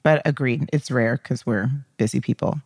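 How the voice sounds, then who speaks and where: A woman's voice: a bass voice, normal speed, a little mellow, breathy edge, no echo, studio quality. A woman hosting a podcast in casual chat with a friend, often laughing as she talks. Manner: laughs often while talking. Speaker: podcast host.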